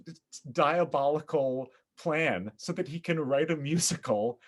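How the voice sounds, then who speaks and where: A man chatting casually as a podcast guest, with an animated, theatrical way of talking. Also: on the high side, measured pace. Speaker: former actor.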